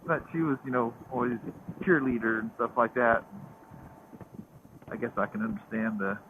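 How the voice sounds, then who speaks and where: A man's voice: mid-range voice, moderate pace. A man from the American South with a Southern accent, chatting casually and telling stories while riding a motorcycle. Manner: rambling, storytelling. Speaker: vlogger. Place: outdoors on a motorcycle. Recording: tinny intercom with faint road noise.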